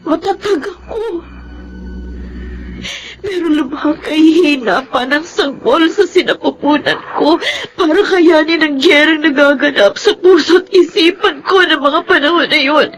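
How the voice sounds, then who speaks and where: A woman's voice: high voice, unhurried, a little hiss or hum, poor audio. A woman with a Filipino accent acting an anguished, resentful young wife in a radio drama, crying as she speaks. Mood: tearful reproach.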